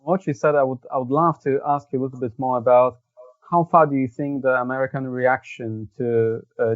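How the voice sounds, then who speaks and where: A man's voice: mid-range voice, no echo, highs cut off. A man interviewing, framing a question with stumbling restarts. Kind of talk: conversational questions.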